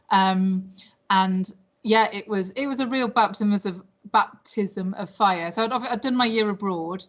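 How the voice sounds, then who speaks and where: A British woman in her late thirties speaks as an interviewee at a medium pitch. She explains things informally and chattily, often pausing to find her words. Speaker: language teacher, interviewee. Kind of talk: informal interview answers about past jobs.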